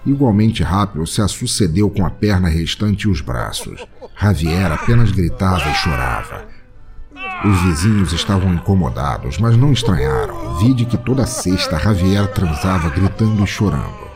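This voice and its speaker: Low-voiced Brazilian man narrating theatrically. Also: big pitch swings, moderate pace. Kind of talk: dramatic scripted narration of a comic audio drama. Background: music.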